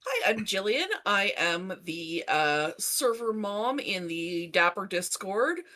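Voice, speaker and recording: Lady player, casual intro, really bright voice, average pitch. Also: lively intonation, slow. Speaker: player in a tabletop game.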